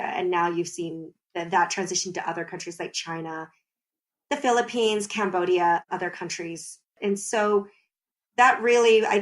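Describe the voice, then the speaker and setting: A woman, a podcast guest, talking animatedly, explaining at length and often breaking into laughter. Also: fairly low pitch. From United States. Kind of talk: conversational podcast interview.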